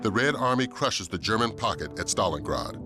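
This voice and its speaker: A man delivering solemn, measured war history narration in the manner of a documentary, for a video game. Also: fairly low pitch, animated, natural voice. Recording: music under narration.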